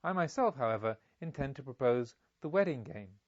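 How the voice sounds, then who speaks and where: A man's voice: mid-range voice, expressive, brisk, no room sound, lo-fi sound, close to an everyday voice. A man voicing a polite, carefree young merchant's son in an audiobook, with eager, formal speech. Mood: polite, enthusiastic. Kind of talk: audiobook character dialogue.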